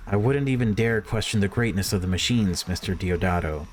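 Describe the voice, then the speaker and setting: Man reading as brooding young male analyst, lowish voice. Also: fairly quick, slight background noise, lightly acted. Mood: timid, cautious. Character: timid but resentful young male data analyst. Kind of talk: first-person visual novel read-aloud.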